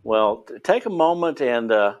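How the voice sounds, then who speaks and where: A man's voice: medium pitch, expressive, normal speed, dull audio. A man with a West Virginia accent hosting an interview, talking loosely with restarts. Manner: rambling, many fillers and restarts. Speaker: host and Bible teacher. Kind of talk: casual interview.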